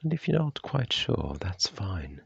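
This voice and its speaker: A man guiding a body scan meditation with gentle, unhurried, measured phrasing and long pauses. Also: on the low side, sing-song, vocal fry, breathy edge, dry recording, muffled recording. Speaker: meditation guide.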